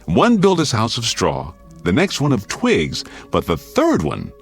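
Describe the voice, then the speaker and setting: An American actor playing a wry middle-aged doctor, mock-grumpy and gruffly affectionate, in a radio drama. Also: male voice, medium pitch, wildly swooping pitch, unhurried, soft background music. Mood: wry, heartfelt.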